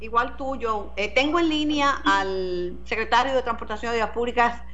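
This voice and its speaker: A woman's voice: fairly high pitch, normal speed, bright, forceful tone, highs cut off. A Puerto Rican woman with a Puerto Rican Spanish accent, hosting a news radio show and questioning officials in an earnest, direct way. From Puerto Rico.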